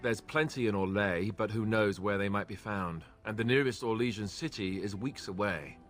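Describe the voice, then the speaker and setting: An actor playing an earnest young warrior, reluctant and unsure, in a fantasy video game. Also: male voice, lowish voice, moderate pace, faint music behind, lightly acted. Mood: uncertain, earnest.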